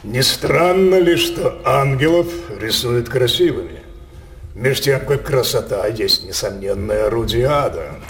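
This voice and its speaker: An actor playing a decadent, aging king who is sensual and imperious, declaiming verse slowly in a radio drama. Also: mid-range voice, expressive, creaky. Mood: mocking relish.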